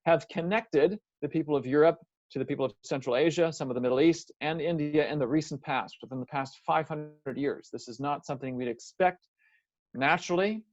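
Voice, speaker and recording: A man's voice: on the high side, a little mellow, no echo. A man giving an earnest, methodical lecture, walking the listener through a timeline. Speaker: lecturer presenting a series. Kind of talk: explanatory lecture. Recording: dry, slightly dull recording.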